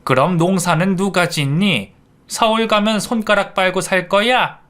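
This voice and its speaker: A man's voice: sits high, unhurried, a bit bright, pitched above the performer's own voice. A man voicing a stern rural mother who scolds her son. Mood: scolding. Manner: scolding, exasperated.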